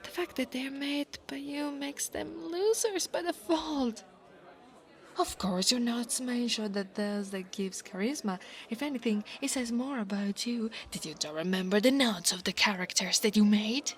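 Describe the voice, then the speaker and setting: A woman's voice: a little high, unhurried. A woman playing an insecure, mocking young female dragon bully in an ASMR roleplay, with a taunting, drawling delivery. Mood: smug, scornful. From Italy.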